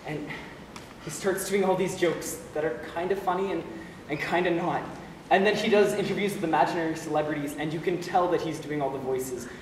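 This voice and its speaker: A man's voice: fairly high pitch, steady tone, fairly quick, natural voice. A man playing a despairing but wry lonely young man in a confessional stage monologue. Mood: wry, confiding. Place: stage play recorded in a hall. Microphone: distant camera or room microphone. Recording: echoey hall from far off, noisy.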